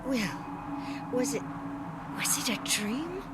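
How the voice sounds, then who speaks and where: An actress playing a frightened, hurt woman in a radio drama, speaking in a breathless, halting, emotional way. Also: high voice, expressive, loud music behind the voice. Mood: shaken. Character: frightened, injured woman battling tiny silvery creatures.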